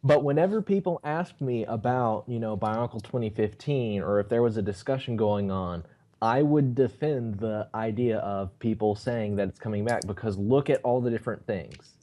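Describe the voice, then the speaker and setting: A man's voice: on the low side, moderate pace, warm tone. A young man with a Texan accent, talking casually on a livestream with a laid-back drawl. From Texas.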